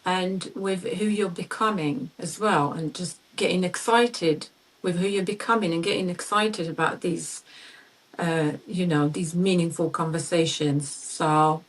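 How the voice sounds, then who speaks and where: Soft-spoken woman, English as second language. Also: lowish voice, distant mic, poor audio. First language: not English. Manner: halting, searching for words, many restarts. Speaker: podcast host. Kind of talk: personal conversation, interview.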